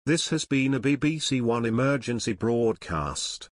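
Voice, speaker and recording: A man reading a scripted emergency announcement as a broadcast announcer in a formal, measured manner. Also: medium pitch, expressive, no echo, studio quality.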